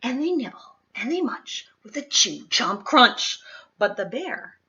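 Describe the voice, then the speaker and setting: A woman's voice: fairly high pitch, very animated, unhurried, sharp tone, muffled recording, a little hiss or hum. A woman reading a children's picture book aloud expressively, dropping to whispers at times. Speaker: storybook reader.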